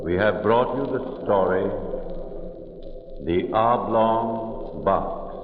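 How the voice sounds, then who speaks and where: Ominous horror announcer, low, extremely slow theatrical intoning for vintage 1940s radio, drenched in echo. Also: male voice, a little hiss or hum. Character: ominous male announcer of eerie tales from the past. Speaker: show announcer. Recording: cavernous reverb, tinny 1940s radio.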